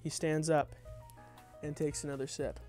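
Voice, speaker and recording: A man's voice: fairly high pitch, little pitch movement, moderate pace, a little mellow, soft background music. A young adult man doing an informal table read of his own comedy script, dropping in wry, off-the-cuff asides. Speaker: content creator reading own script.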